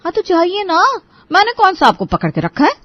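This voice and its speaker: A woman voicing a scheming, bossy, sharp-tongued wife in a family cartoon, scolding and sarcastic. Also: very high voice, huge pitch swings, a little fast, no echo, dull audio, full character voice. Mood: irritated, sarcastic. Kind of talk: Hindi family cartoon voice acting.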